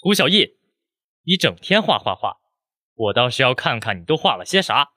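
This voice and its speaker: A man playing a stern high-school teacher in an audio drama, reproachful and sarcastic. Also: sing-song, normal speed, sharp tone, slight background noise. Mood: scolding.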